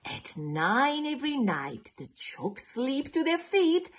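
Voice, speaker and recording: A woman reading a children's picture book aloud in a playful, theatrical way, with dramatic whispers and drawn-out words. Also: high voice, very animated. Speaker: children's storytime reader.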